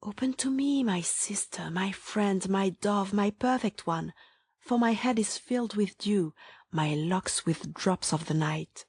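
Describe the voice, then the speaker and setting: A woman playing a loving, yearning bride in a hushed, solemn dramatized reading of scripture poetry. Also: mid-range voice, unhurried, breathy edge, no room sound. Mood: hushed longing.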